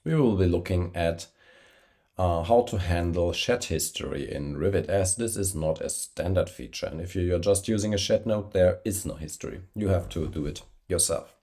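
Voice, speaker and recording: A man with a slight cold, hosting a screen-recorded software tutorial while traveling. He talks with many fillers and self-corrections, using a poor travel microphone. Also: low-pitched, moderate pace. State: has a cold, slightly congested. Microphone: poor-quality travel microphone.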